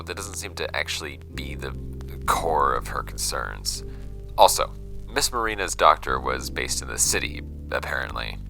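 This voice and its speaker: An actor playing a brooding archivist who records notes on strange case files in a horror audio drama, measured and hesitant, with pauses mid-sentence. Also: male voice, rumbling low, vocal fry, pushed and bright, exaggerated voice, poor audio. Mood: brooding, pensive.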